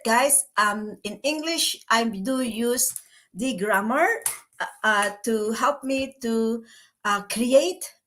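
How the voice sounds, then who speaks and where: A woman's voice: on the high side, fairly bright tone. A chatty, cheerful woman with a Filipino accent, whose first language is Tagalog, talking casually to her viewers. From Philippines. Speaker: vlogger and business mentor.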